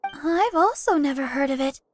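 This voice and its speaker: A woman's voice: sits high, animated, moderate pace, soft background music. A woman voicing a sweet, friendly, girlish teenager in a video game. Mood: friendly, puzzled. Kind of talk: scripted video game acting.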